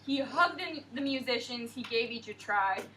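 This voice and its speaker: A young woman teacher reading a picture book aloud to a class in an expressive, sing-song way and asking questions. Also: on the high side, moderate pace, recorded from a distance, slight background noise, low-quality recording.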